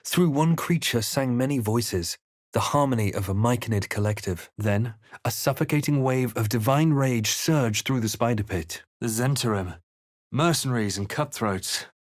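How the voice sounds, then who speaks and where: A man playing a composed, brooding fantasy adventurer in a video game, telling events in a measured, dramatic way. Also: medium pitch, dry recording, clean studio sound, close to an everyday voice. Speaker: player character voice. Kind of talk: scripted game narration and character lines.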